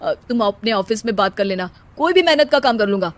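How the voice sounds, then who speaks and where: A woman's voice: mid-range voice, expressive, brisk, close to an everyday voice. A woman voicing an idle, cocky young husband who declaims theatrically in rhymed couplets. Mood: contrite. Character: idle, cocky young husband obsessed with silly rhyming poetry.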